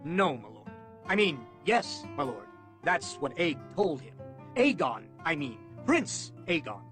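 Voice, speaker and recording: A man's voice: fairly high pitch, sing-song, a little slow, a bit bright, soft background music. A man voicing a humble, awkward young knight, hesitant and deferential, in an audiobook. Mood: flustered. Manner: hesitant, plain-spoken, deferential.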